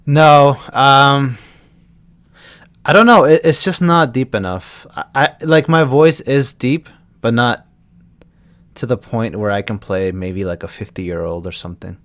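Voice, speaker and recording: A man's voice: mid-range voice, a little slow, a bit bright. A young man from Texas, a voice actor chatting casually with viewers on a live stream and answering their questions. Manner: chats loosely, answering viewers' questions as they come.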